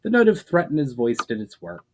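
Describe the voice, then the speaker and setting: A man's voice: mid-range voice, wildly swooping pitch, brisk, a little hiss or hum. Male author reading and presenting fantasy. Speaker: author reading his own fantasy fiction. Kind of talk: dramatic scripted narration, casual sign-off.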